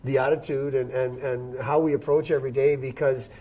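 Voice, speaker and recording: A man's voice: medium pitch, level tone, fairly quick, mellow, poor audio. A man, a hockey head coach, giving an answer in an interview.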